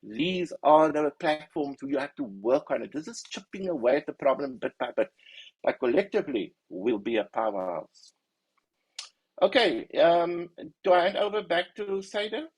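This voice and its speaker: A man's voice: on the high side, expressive, moderate pace, breathy edge, no room sound, poor audio. A man presenting at an online webinar, earnest and urging, in an informal way. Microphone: video call.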